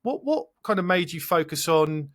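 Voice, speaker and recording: A man's voice: a little high, lively intonation, moderate pace, fairly bright tone. A man hosting a podcast interview, enthusiastic and asking long, rambling questions. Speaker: podcast host.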